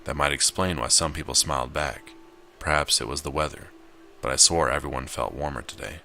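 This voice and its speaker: Very deep-voiced man narrating a game. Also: a little fast, bright, forceful tone, a little hiss or hum. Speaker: video game player and narrator. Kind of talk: visual novel read-aloud with casual gameplay commentary.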